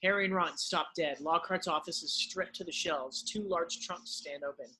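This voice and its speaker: A man's voice: on the high side, moderate pace, pushed and bright, breathy edge. A man narrating, reading the stage directions of a screenplay in an amateur read-through.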